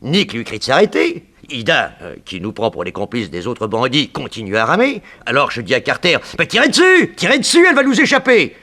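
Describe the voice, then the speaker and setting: An actor playing a sly, vengeful gangster in a 1960s French radio crime serial, with an excitable, sneering, slangy delivery. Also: male voice, medium pitch, brisk, hardly any pauses, exaggerated voice. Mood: gloating, scheming. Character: gloating, vengeful male gangster, sly villain back from the gallows.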